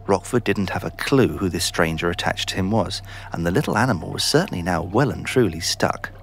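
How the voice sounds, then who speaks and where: A man narrating a scripted children's story in an expressive storytelling manner. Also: low voice, a little fast, gravelly, a little hiss or hum.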